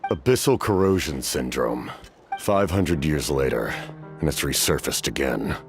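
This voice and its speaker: A man voicing a grave, knowing figure in a video game, with a cold, measured and clinical manner. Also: a bass voice, animated, faint music behind.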